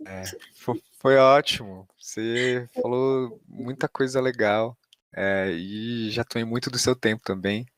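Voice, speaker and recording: A man's voice: mid-range voice, steady tone, a little slow, clean tone, no room sound. A Brazilian man hosting a podcast interview in an informal, conversational way with tentative phrasing. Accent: Brazilian Portuguese. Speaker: podcast host.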